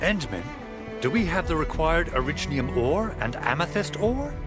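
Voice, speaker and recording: A man's voice: fairly high pitch, sing-song, normal speed. A man voicing a confident, enthusiastic planner who briefs his team in a video game. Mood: confident, explanatory. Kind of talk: scripted game dialogue, explanatory. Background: game music.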